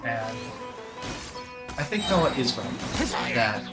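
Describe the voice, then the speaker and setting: A man's voice: mid-range voice, sing-song, extremely slow. A man streaming a game, chatting casually and rambling with restarts as he thinks aloud. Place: room with other people present. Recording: roomy, off-mic, loud game music behind.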